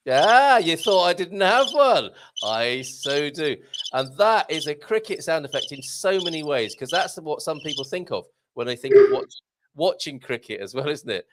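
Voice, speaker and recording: A man's voice: a little high, big pitch swings, moderate pace, background noise. A man hosting a live chat show, joking around, laughing often and reading out viewer comments. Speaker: host.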